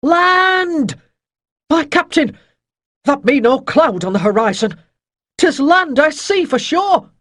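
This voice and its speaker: High, bellowing sailor lookout. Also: lively intonation, takes it slowly, long pauses, dry recording, studio quality, heavily acted. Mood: excited. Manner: shouting, rustic archaic speech. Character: sharp-sighted male sailor lookout, excitedly bellowing.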